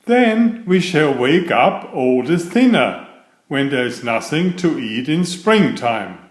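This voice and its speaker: A man voicing a prudent, affectionate squirrel husband in a children's storybook, gentle and sensible. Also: on the high side, very animated, takes it slowly, echoey room. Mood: prudent, cosy.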